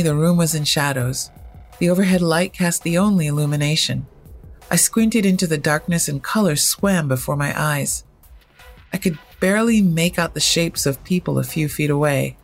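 A storyteller with a husky voice, narrating first-person horror fiction in a measured, dramatic way. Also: medium pitch.

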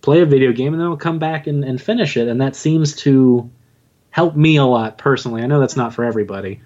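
A dull, lo-fi recording of a man chatting as a podcast guest. He has a mid-pitched voice and talks casually about himself in a joking, self-deprecating way. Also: quick pace, a little mellow. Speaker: podcast guest, audio drama writer and narrator. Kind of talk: casual interview chat.

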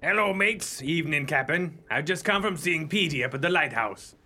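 An actor playing a brisk, dutiful pirate mate in a comic radio play. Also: male voice, on the high side, animated, noisy background, close to an everyday voice. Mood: brisk, cheerful.

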